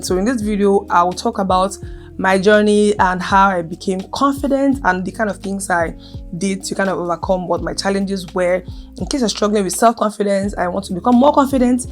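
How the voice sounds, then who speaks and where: A young adult woman vlogging casually to camera, earnest and emphatic, who speaks with a stutter and repeats her key phrases. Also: medium pitch.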